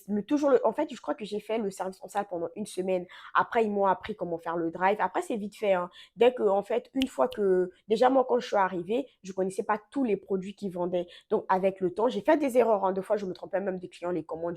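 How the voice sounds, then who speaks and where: Young woman vlogging, french not her first language, warm mellow tone, lots of euh and little restarts. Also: on the low side. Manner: chatty, full of fillers and self-corrections. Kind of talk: casual storytelling to camera.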